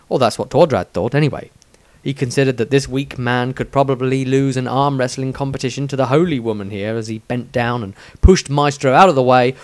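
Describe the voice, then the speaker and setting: Male narrator, mid-pitched, fantasy audiobook, dramatic storytelling, dry recording. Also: dry recording. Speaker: author reading his own story.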